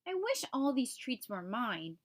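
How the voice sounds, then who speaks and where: A woman's voice: on the high side, very animated, unhurried. A woman voicing a grumpy, greedy little male Easter bunny in a storybook, complaining and self-pitying. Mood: scheming.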